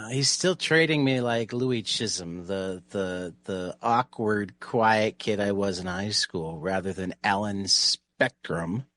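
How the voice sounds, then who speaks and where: A man's voice: lowish voice, slow, hardly any pauses, fairly bright tone. A man playing a boastful self-styled genius who is also an insecure, stammering fool in a tabletop game. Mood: indignant boasting. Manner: indignant, stammering, self-deprecating.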